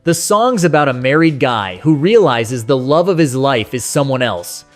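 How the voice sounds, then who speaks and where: A man's voice: medium pitch, animated, normal speed. A man narrating a scripted nostalgic music countdown as host, speaking to the listener in a conversational, sentimental way.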